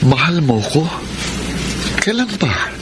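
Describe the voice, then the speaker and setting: An actor playing a gentle, bewildered young boyfriend in a Filipino radio drama, hesitant and apologetic, with a Filipino accent. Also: male voice, a little high, big pitch swings, takes it slowly. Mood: stunned. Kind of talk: Philippine radio drama acting. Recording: radio drama with loud music bed.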